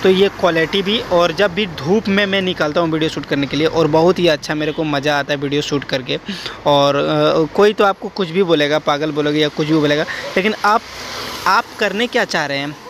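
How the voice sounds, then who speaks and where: A man's voice: fairly high pitch, normal speed. A young man rambling chattily to viewers while riding a motorcycle on rural roads, recorded on a helmet-mounted action camera. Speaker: moto vlogger. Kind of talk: casual motovlog commentary while riding. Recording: wind and road noise from riding.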